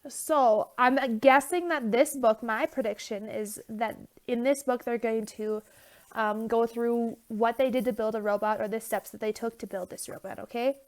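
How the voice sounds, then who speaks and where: A woman's voice: a little high, fairly even intonation, moderate pace, a little mellow. A young woman teacher with a stuffy nose giving a casual remote lesson, chatty with many fillers and okay check-ins, recorded on a phone.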